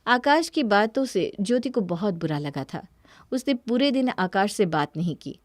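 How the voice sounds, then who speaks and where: A woman's voice: mid-range voice, expressive, normal speed, no echo, clean studio sound. A woman narrating a scripted family story.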